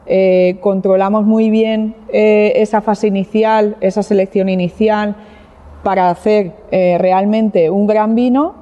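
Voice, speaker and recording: Reverberant, mellow-voiced woman talking wine. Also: medium pitch. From Catalonia, Spain. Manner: hesitant, many fillers and restarts, heartfelt. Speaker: winemaker leading a tasting. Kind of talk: explanatory wine tasting talk. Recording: dull lo-fi sound with long hall reverb.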